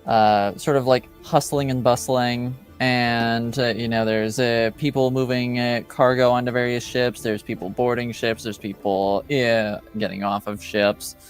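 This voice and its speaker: A man improvising narration as game master of a tabletop game, casual and hesitant, often halting as he describes things. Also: medium pitch, level tone, faint music behind.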